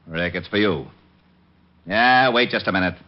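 Man playing an exasperated, deep-voiced American police lieutenant. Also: normal speed, a little hiss or hum, close to an everyday voice. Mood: grumbling. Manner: grumbling, put-upon. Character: exasperated, long-suffering male police homicide lieutenant. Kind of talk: 1950s radio comedy-drama acting. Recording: dull vintage radio recording.

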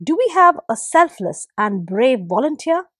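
A woman's voice: high voice, big pitch swings, measured pace, fairly bright tone, husky, dry recording, studio quality, full character voice. A woman playing kindly creator god Papa God. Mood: reassuring. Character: kind, powerful male creator god.

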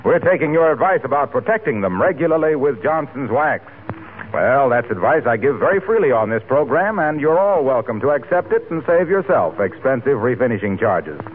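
A man with an American accent announcing and pitching a sponsor in polished, genial 1940s radio style. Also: sing-song, fairly quick. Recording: tinny old radio recording, background hiss.

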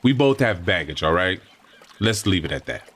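A man playing a grieving father in an audio drama, wounded and quick to flare up. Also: deep voice, big pitch swings, fairly bright tone, heavily acted. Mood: strained. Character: bitter grieving father, Harry.

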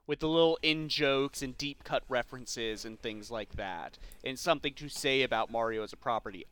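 A man's voice: medium pitch, fairly bright tone, clean tone, no room sound. A man hosting a casual podcast about films, talking in a rambling, geeky, enthusiastic way. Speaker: podcast host. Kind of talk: casual film discussion podcast.

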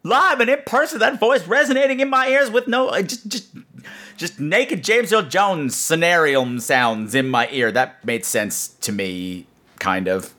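A man's voice: a little high, a little slow, sharp tone. A man hosting a podcast in a casual, comedic storytelling monologue, rambling with sarcastic asides and some swearing. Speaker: podcast host.

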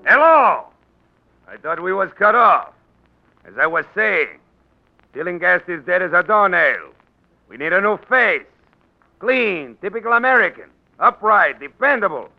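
An actor playing a tough, bullying big-city political boss in 1940s radio style, gruff and sardonic, talking in gangster slang. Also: male voice, high voice, very animated, measured pace, pauses often, exaggerated voice. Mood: brisk, scheming. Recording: dull 1940s radio recording.

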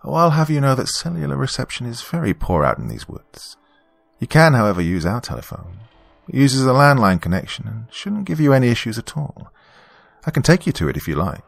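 Man putting on a higher-pitched, genteel young British woman. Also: big pitch swings, a little fast, creaky, no room sound, faint music behind. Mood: gracious, playful. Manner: genteel, teasing politeness. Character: young pregnant British woman, playful, gracious hostess. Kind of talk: audio story character dialogue.

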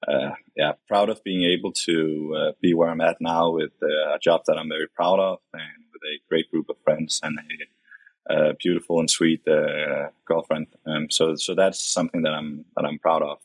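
A man in his late twenties with a Danish accent, chatting casually and haltingly as a modest interview guest. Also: very deep voice, level tone, dry recording. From Denmark, grew up in Copenhagen. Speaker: podcast guest, company vice president.

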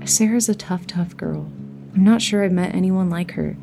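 A creaky, calm, reassuring leader. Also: female voice, on the low side, normal speed. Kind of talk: naturalistic audio drama acting. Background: plucked guitar music.

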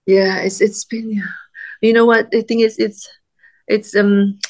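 A woman in her forties with a German accent, talking as an interview guest with halting, restarting speech and frequent laughs, her speech affected by illness. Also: medium pitch, little pitch movement, a little mellow. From Austria. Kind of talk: casual interview conversation.

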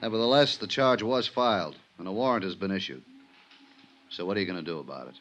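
A man acting a weary, level-headed American police inspector in a 1950s radio drama, measured and reflective. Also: sits low, expressive, a bit bright. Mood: reasoning. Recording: noisy 1950s radio recording.

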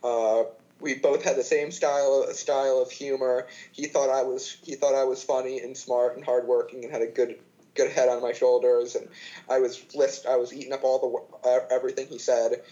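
A man's voice: medium pitch, little pitch movement, moderate pace, dark tone, highs cut off. A man in his twenties, a podcast guest, talking casually with lots of fillers and false starts. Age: 20s. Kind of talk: casual chat.

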